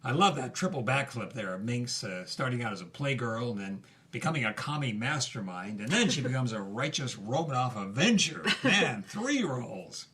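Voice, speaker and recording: A man's voice: mid-range voice, very animated, normal speed, hardly any pauses, pushed and bright. A man co-hosting a podcast, telling film history in a conversational style. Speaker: podcast co-host.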